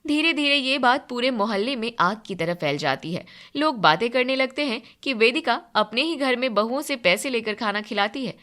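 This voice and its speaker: Bright, high-voiced woman narrating a story. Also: animated, normal speed, hardly any pauses. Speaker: narrator of a family drama story. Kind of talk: scripted dramatic storytelling.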